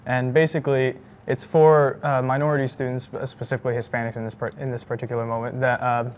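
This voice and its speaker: A teenage boy, a high school student being interviewed, who hedges a lot with "I mean" and "you know.". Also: level tone, slight background noise. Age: teens. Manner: rapid, hedging, lots of "I mean" and "you know".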